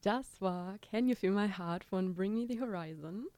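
A young woman co-hosting a casual podcast, playful and quick to laugh, mixing in English slang. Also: medium pitch, very noisy. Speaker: podcast co-host. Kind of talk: casual chat.

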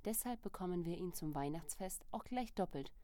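Woman, podcast co-host, soft mellow tone, giggles readily, relaxed quiz banter. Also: fairly low pitch, brisk, no room sound. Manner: giggly, laughs often. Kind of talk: casual quiz chat.

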